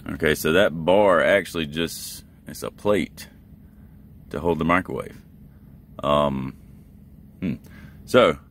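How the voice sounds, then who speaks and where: Deep-voiced man, casual how-to vlog. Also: slight background noise. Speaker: truck driver and vlogger. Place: inside a truck cab.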